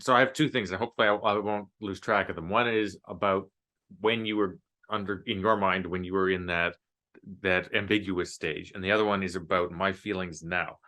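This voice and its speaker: A man's voice: fairly low pitch, pushed and bright. A man hosting a podcast in a casual, chatty way, poking fun at himself as he talks. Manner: chatty, self-deprecating, jokes at himself.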